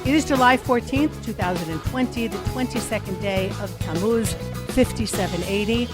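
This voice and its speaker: A woman's voice: medium pitch, normal speed, loud music behind the voice. A woman hosting an interview podcast, asking chatty, eager questions. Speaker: podcast host.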